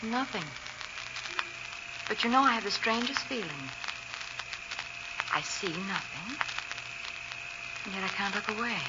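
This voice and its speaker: A woman's voice: medium pitch, animated, a little slow, pauses often, lightly acted. A woman playing a genteel young lady sleuth in 1940s radio drama, polite, hesitant and dramatic. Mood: entranced, frightened. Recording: muffled, noisy 1940s radio recording.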